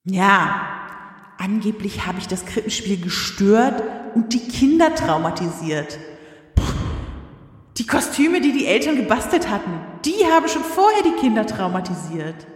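A woman's voice: mid-range voice, very animated, unhurried. A woman playing God in an audio drama as an indignant, down-to-earth lady who talks in a colloquial, sardonic, grumbling way. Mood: wry, aggrieved. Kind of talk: audio drama acting, conversational monologue. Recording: echoey hall with long reverb.

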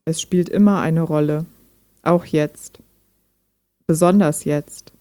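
A gentle, guarded female chatbot, acted by a woman speaks in a lowish voice with a dry studio sound. She is thoughtful and conversational, like a person in an intimate radio drama. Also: steady tone, takes it slowly, dry recording. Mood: gentle, hopeful. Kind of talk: intimate German radio play dialogue.

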